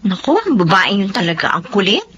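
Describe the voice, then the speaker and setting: A woman's voice: mid-range voice, very animated, brisk, fairly bright tone. A woman playing a shy, modest, dutiful young woman in a Filipino radio drama, with a Filipino accent. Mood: everyday, mildly exasperated. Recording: dull, slightly noisy lo-fi recording.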